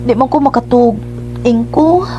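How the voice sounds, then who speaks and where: An exasperated wife played by a woman complains in Cebuano-accented radio drama style. Her voice sits a little high, nagging and fed up, and clear music plays behind her. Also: a little slow, mellow, lightly acted. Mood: plaintive. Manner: scolding, complaining.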